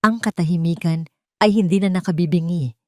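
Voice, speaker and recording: Low-voiced Filipino woman narrating a dramatic story. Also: fairly quick, warm tone, no echo, studio quality.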